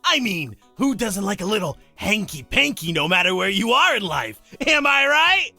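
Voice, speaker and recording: A woman voicing a flamboyant, raunchy hype act from Hell in a visual novel, raspy, with theatrical, teasing stage patter. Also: mid-range voice, sing-song, normal speed, sharp tone, no echo, faint music behind, full character voice. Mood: hyping. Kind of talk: visual novel character acting.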